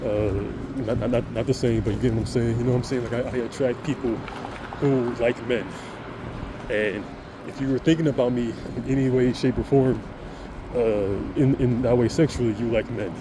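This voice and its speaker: A man with a deep voice speaks in an earnest, rambling vlog monologue. He restarts sentences and stammers often. Loud traffic and air-conditioning noise hum behind him throughout. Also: fairly even intonation, normal speed. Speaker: vlogger. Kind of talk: casual monologue to camera.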